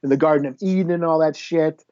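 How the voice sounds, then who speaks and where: Higher-voiced man, dull lo-fi podcast sound. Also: fairly even intonation, fast, mellow, clean tone. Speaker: podcast co-host reviewing comic books. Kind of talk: casual chat recap. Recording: dull, lo-fi audio.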